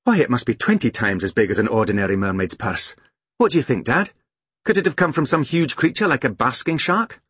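A man voicing a practical, inquisitive mother in a children's storybook, brisk and wondering. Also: medium pitch, very animated, fairly bright tone, breathy edge, dry recording. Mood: curious.

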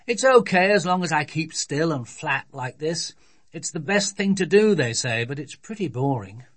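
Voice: mid-range voice, expressive, normal speed, slightly rough. An adult storybook reader voicing a gloomy, grumpy father who sounds weary. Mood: grumpy, resigned. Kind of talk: children's storybook reading.

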